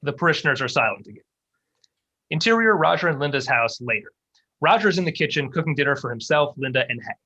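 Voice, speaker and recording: Male stage-direction reader for a script table read; forceful, slightly raspy, pitched a little high. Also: lively intonation, quick pace, muffled recording.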